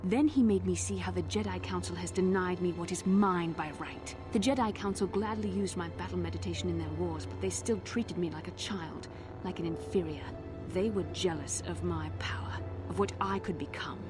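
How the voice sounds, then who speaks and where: Woman playing a proud bitter dark-side warrior, lowish voice, haughty, deliberate, video game drama, music underneath. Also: lightly acted. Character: proud, bitter fallen Jedi woman turned Sith.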